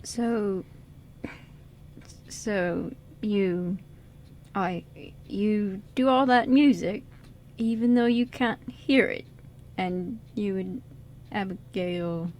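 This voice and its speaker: A woman playing an earnest, excitable teenage boy who gushes and stammers in an amateur radio drama reading. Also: medium pitch, extremely slow, long pauses, slight background noise. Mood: awed, subdued. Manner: stammering, gushing, rambling.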